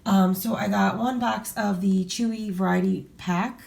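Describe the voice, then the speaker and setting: A middle-aged woman with a hoarse voice from a cold, chatting casually to viewers with lots of fillers and restarts. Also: mid-range voice, fairly even intonation, measured pace, darkish tone. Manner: rambling, many fillers and restarts. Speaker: vlogger showing a grocery haul. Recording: echoey room, voice a bit far from mic.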